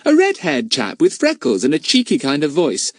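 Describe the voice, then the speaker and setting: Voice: mid-range voice, wildly swooping pitch, normal speed, no room sound, clean studio sound, pitched above the performer's own voice. A narrator voicing a cheery, friendly boy in a storybook. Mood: cheerful.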